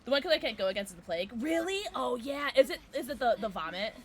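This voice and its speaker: A woman streamer with a low alto voice, chatting casually with her viewers while she plays, sarcastic and quick to break into squeals and laughs. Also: expressive, a little hiss or hum. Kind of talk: casual livestream chat while gaming.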